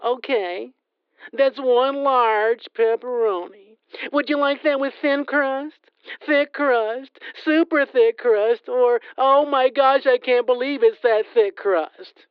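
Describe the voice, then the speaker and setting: Woman playing a chirpy young pizza clerk; slow, smooth delivery, dull dry sound. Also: sits high, no room sound. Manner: chirpy, scripted upselling. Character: new young female pizza shop worker.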